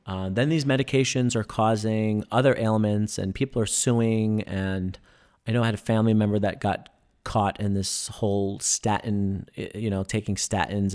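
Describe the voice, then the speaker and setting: A man with a low, gravelly voice, talking eagerly on a podcast. He explains things at length, with plenty of ums and uhs. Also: a little mellow. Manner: enthusiastic, explanatory, many fillers. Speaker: podcast guest, breathing workshop instructor. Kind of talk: casual podcast conversation.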